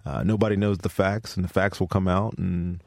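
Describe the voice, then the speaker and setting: A man in his thirties with a low, gravelly voice, chatting easily as a guest and restarting his sentences often. His voice is recorded close and dry, with no room sound. He sounds relaxed. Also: brisk, dry recording. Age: 30s. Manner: easygoing, laughs often, frequent restarts. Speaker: football player guest. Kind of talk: casual interview chat.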